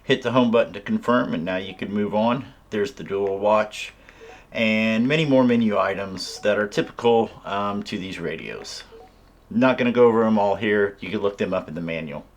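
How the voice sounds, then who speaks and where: Low-pitched man, casual gadget tutorial. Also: moderate pace. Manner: informal step-by-step explaining. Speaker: radio reviewer. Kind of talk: casual hands-on product tutorial.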